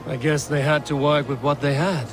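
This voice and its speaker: An actor playing a kindly, wry Viking warrior in a video game, speaking in a measured way. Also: male voice, on the high side, steady tone, music in the background, lightly acted. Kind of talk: video game cutscene acting.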